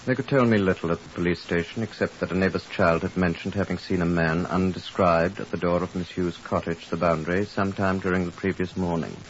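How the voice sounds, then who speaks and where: Deep-voiced, formal police superintendent. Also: male voice, fairly even intonation. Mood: grave, factual. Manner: formal, measured, matter-of-fact. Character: Scotland Yard superintendent, brisk, dry, middle-aged man. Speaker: narrating detective. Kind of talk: 1950s radio drama acting, first-person case narration. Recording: hissy, muffled 1950s radio recording.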